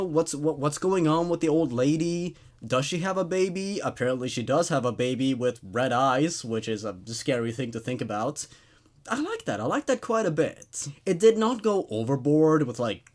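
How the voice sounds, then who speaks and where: A man's voice: medium pitch, darkish tone. A man hosting a laid-back horror story show, reading and commenting in an informal, conversational way. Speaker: host reading and reviewing horror stories.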